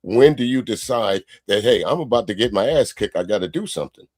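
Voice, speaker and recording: A man's voice: on the low side, expressive, brisk, darkish tone, dry recording, studio quality. An American man in a casual debate, pressing questions insistently and rephrasing them as he goes. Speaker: discussion participant posing questions.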